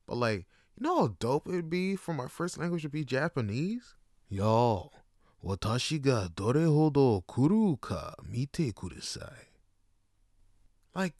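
A young man hosting his own podcast, talking in a casual, slangy monologue full of animated jokes and asides. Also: medium pitch, smooth, no echo, studio quality. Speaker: podcast host.

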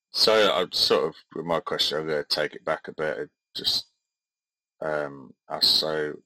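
A man's voice: rumbling low, bright, forceful tone, no room sound, rough recording. A man co-hosting a podcast, chatting casually and hesitantly with many fillers. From Midlands of England. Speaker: podcast co-host. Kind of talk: casual chat.

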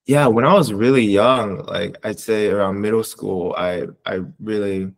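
A man in his twenties with an American accent, answering interview questions casually in a hesitant, halting way with many pauses. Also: fairly low pitch, fairly even intonation, gravelly. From Southern California, United States. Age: 20s. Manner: hesitant, halting, pausing often between short phrases. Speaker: interviewee, community advocate.

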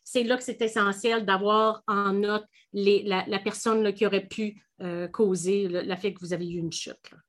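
A woman's voice: medium pitch, steady tone. A woman with a Quebec French accent, hosting a legal podcast in a chatty, explanatory way. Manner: chatty, explanatory, quick restarts. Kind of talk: casual legal podcast chat.

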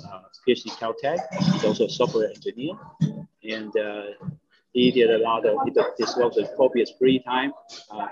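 A man hosting an online event, introducing a guest speaker in a halting way with many fillers. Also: medium pitch. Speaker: host. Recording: dull lo-fi audio with crowd noise.

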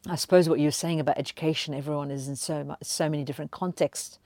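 A woman hosts a podcast in a low, mellow voice. She encourages her guest and talks with frequent hesitations and fillers. The sound is dry and close. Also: steady tone, quick pace, no room sound. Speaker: podcast host. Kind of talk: conversational interview.

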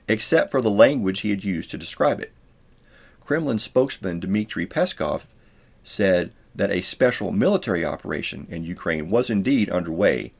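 A man reading his own nonfiction book aloud as scripted narration, in a measured, formal way. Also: low voice, vocal fry.

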